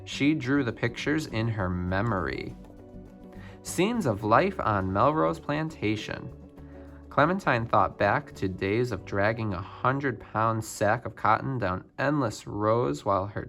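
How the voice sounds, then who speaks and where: A man reading a children's picture book biography aloud, phrase by phrase with expressive emphasis. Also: mid-range voice, measured pace, soft background music. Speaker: storybook reader.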